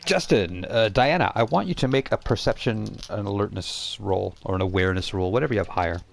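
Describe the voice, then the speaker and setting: A man's voice: on the low side, fairly quick, vocal fry. A man narrating a tabletop role-playing game as game master, often repeating and restarting his phrases.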